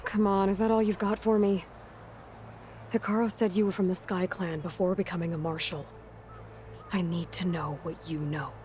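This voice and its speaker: An actress playing a determined, wry young outlander in a video game cutscene, with a direct, probing and dry delivery. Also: female voice, lowish voice, steady tone, moderate pace, a little mellow, a little hiss or hum, lightly acted. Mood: pressing.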